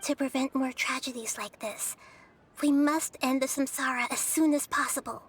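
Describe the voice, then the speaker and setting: A woman voicing a gentle, wise, childlike young goddess in a video game, speaking softly in a measured, thoughtful, explanatory way. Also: high-pitched, steady tone, a little hiss or hum. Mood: thoughtful, instructive. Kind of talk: English video game dub acting.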